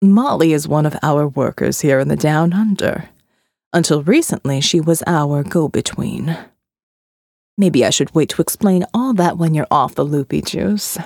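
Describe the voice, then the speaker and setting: A woman reading an audio novel voices a condescending male captor who talks slowly and patronizingly. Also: deep voice, animated, gravelly, a little mellow, no room sound, studio quality, voice pushed down, full character voice. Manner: slow, drawling, patronizing. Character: smooth condescending male captor, middle-aged.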